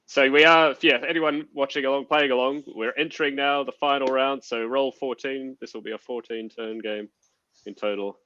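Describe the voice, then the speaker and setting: A man's voice: mid-range voice, fairly even intonation, moderate pace, sharp tone, smooth. A man hosting a board game play-along with casual commentary and chat, in slightly lo-fi audio.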